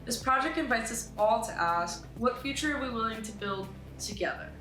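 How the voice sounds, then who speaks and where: A woman giving an articulate, earnest scripted pitch as a filmmaker presenting her project, with some light asides. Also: lively intonation, normal speed, a bit bright. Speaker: animator and writer pitching a short film. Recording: roomy echo with music behind. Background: music.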